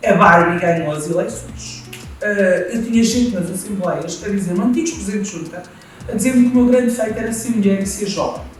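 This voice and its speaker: A woman's voice: mid-range voice, a little fast. A woman with a background in local politics, recounting past events in a matter-of-fact way. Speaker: former local political leader. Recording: echoey, distant, with music.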